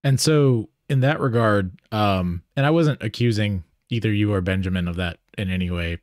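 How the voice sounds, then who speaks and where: A man in a casual panel discussion, speaking in a halting, deliberate way as he qualifies his points. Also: fairly low pitch, darkish tone, dry recording, clean studio sound. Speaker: podcast panelist.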